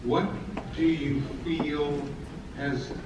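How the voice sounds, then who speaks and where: A man moderating a panel, asking his questions in a halting way. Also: a little high, level tone, muffled recording. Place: large convention auditorium. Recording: echoey hall, distant mic, noisy.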